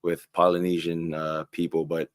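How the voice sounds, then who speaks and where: Deep-voiced guy from hawaii, halting interview answer, dry close sound. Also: monotone, dry recording. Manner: halting, searching for words. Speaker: football player in interview.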